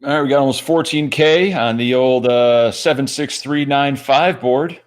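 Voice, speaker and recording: A man with a Midwestern American accent calls a poker match live, laid-back and quick to joke. His pitch sits at an ordinary middle level for a man. He mixes analysis of the hands with easy banter. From Michigan, USA. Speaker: poker commentator.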